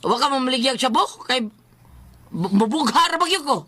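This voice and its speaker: A person with a Cebuano accent speaking as an animated co-host in a casual, joking advice chat, repeating phrases for emphasis. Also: sits high, moderate pace.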